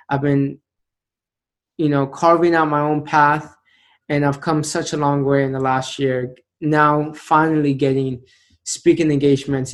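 A man in his late twenties, a motivational speaker telling his story as an interview guest, earnest and emphatic, slowing down to stress key phrases. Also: on the high side. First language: an Indian language. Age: 20s.

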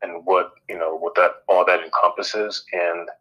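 A man's voice: sits low, level tone, normal speed, sharp tone, breathy edge, poor audio. A man from Connecticut and Northern Virginia, an author guest answering interview questions hesitantly with many fillers. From Stamford, Connecticut and Northern Virginia. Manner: hesitant, many ums and you-knows.

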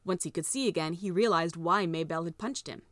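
Low-pitched woman narrating a story. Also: fairly quick, fairly bright tone, dry recording, clean studio sound.

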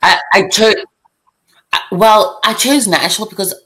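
A young woman from South Africa with a South African accent, a podcast guest chatting casually, lively, laughing easily and restarting sentences in quick bursts. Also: medium pitch. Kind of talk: casual chat.

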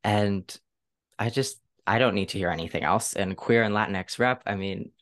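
Low-voiced woman hosting, gushing and excited. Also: moderate pace. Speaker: podcast host. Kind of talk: casual, enthusiastic interview.

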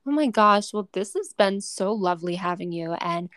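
A woman's voice: mid-range voice, expressive, normal speed, fairly bright tone, dry recording, clean studio sound. A young American woman with at most a faint Massachusetts accent, hosting a casual chat in an effusive, encouraging, informal way. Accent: American, only faintly Massachusetts. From United States, Massachusetts. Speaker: podcast host.

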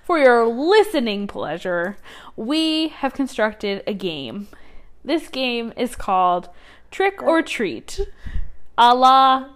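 A woman's voice: fairly high pitch, big pitch swings, slow pace. A young woman co-hosting a casual podcast chat at a friend's house, talking loosely and laughing often. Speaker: podcast co-host. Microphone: lapel mic taken off.

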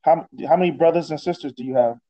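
Slightly high-voiced host, lo-fi. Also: male voice, mellow. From Boston. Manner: chatty, halting restarts. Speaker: podcast host. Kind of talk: casual interview. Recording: dull lo-fi sound.

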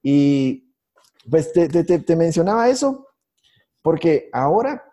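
A man co-hosting a podcast, telling stories in a halting way with many restarts and fillers. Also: a little high, sing-song, mellow. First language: Spanish. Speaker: podcast co-host, IT instructor. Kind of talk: casual podcast conversation.

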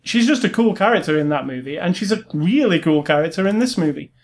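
A man's voice: fairly high pitch, animated, fast. A man co-hosting a casual film review chat, giving blunt, informal opinions.

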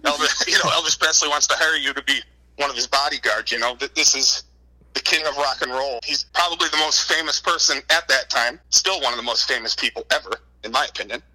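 A man's voice: mid-range voice, brisk, bright, forceful tone, low-quality recording. A middle-aged man answering as an interview guest, chatting informally and telling anecdotes with lots of repeated words. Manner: wordy, informal, repeats words and says "you know" often. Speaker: interview guest, book co-author.

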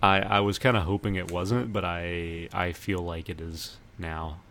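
A man hosting a podcast in casual chat, often pausing partway through his sentences. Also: sits low, steady tone, a bit bright. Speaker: podcast host. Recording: noticeable background noise.

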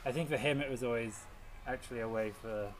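A man speaking thoughtfully in casual chat, halting and repeating words as he gathers his thoughts. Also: mid-range voice, slight background noise, rough recording. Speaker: podcast guest. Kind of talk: casual chat while gardening.

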